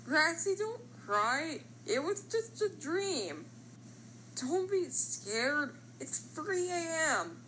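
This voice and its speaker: An excitable little shampoo bottle from a cartoon , acted out by a woman. The voice is bright and fairly high, very lively in pitch and full of drama, over some noise. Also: drawn-out words, full character voice, rough recording. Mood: scolding, soothing. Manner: dramatic, exclamatory. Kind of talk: animated character voice lines.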